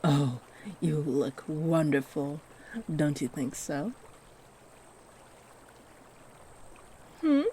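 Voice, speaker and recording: A kindly, doting fairy played by a woman speaks to the listener in a fantasy audio roleplay. Her voice is mellow and unhurried, swooping expressively as she gently fusses and encourages. A faint wash of stream ambience sits behind her. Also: low voice, expressive, a little hiss or hum. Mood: delighted, encouraging. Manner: gentle, encouraging, fussing, with sweet endearments. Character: kindly, doting fairy who gives magical makeovers.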